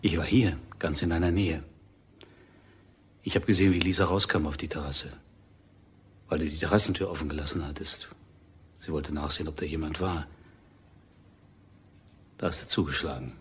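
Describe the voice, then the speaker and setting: An actor playing a cornered, evasive widower under suspicion in a crime radio drama, measured and defensive. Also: male voice, a bass voice, fairly even intonation, slightly rough, exaggerated voice. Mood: quietly accusing. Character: cornered widower, bitter, evasive, confessing suspect.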